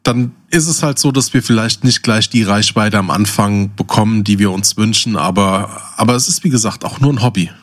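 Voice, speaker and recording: A man's voice: fairly low pitch, fairly quick, few pauses. A middle-aged man chatting casually as co-host of a podcast.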